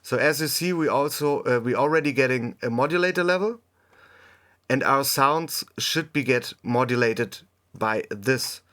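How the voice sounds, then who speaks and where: A man who is a native German speaker, hosting a music production tutorial in English, unscripted and halting as he thinks aloud while demonstrating. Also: medium pitch, sharp tone. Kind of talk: unscripted software tutorial narration.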